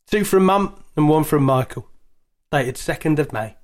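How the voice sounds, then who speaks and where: Plain-spoken sergeant-major's diary, read by a man, fairly high voice. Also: expressive, moderate pace, lightly acted. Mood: matter-of-fact. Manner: plain, conversational diary reading. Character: British army sergeant-major, plain and unflappable. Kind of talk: reading a wartime diary aloud.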